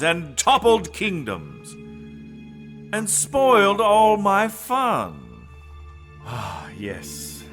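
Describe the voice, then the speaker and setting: Ancient dark lord, slow delivery, sharp forceful tone, pompous, gloating, fantasy audio drama villain. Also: male voice, medium pitch. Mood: gloating menace.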